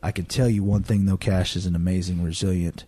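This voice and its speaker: Deliberate low-voiced man in his thirties. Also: steady tone, mellow, slightly rough, no echo. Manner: deliberate, halting, repeats phrases for emphasis. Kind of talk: reflective conversational explanation.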